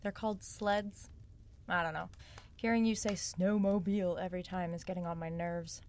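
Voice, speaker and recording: A woman's voice: lowish voice, steady tone, normal speed, a little mellow, a little hiss or hum, close to an everyday voice. A woman playing a gutsy, sardonic young northern woman on the run in an audio drama, with a blunt, wry delivery. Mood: needling, quieter. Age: young adult.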